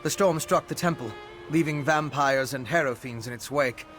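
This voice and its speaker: An actor playing an earnest, inquisitive male investigator in a fantasy video game, speaking in a measured, articulate, studious way. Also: medium pitch, a bit bright, natural voice. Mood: serious, explanatory. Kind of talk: video game character dialogue. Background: game music.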